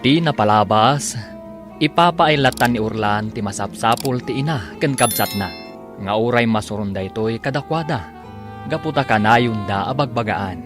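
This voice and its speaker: A man's voice: mid-range voice, moderate pace. A man narrating and announcing a radio drama serial in a scripted, dramatic style. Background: music under the voice.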